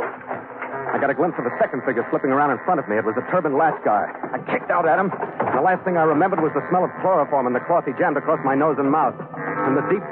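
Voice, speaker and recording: An actor playing a weary, tough ship captain in 1940s radio adventure style, speaking in a terse, hard-boiled manner. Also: male voice, fairly high pitch, close to an everyday voice. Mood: tense. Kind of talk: 1940s radio adventure acting, first-person narration. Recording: 1940s radio broadcast, narrow band, music behind.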